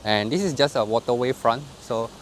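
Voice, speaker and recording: Young guy w walking + vlogging outside, chatty w lots of lah, mid pitch, bit of faint noise behind. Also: a little fast. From Indonesia. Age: 20s. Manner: chatty, sprinkles lah and ah, loose grammar; talks while walking. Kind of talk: casual chat to camera. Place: outdoor park path at night.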